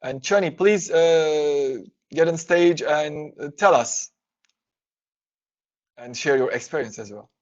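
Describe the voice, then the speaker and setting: A man's voice: a little high, takes it slowly, pauses often. A man hosting an online conference who introduces the speaker and cheers her on with short, friendly replies. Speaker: conference host. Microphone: video call. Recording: dull lo-fi video call audio.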